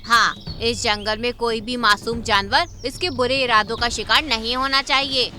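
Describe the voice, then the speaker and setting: A clever, brave female bird from a cartoon, voiced by a woman. Her voice is high and forceful, and she speaks in a firm, earnest, commanding way. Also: fairly even intonation, moderate pace, slight background noise. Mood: earnest, resolute.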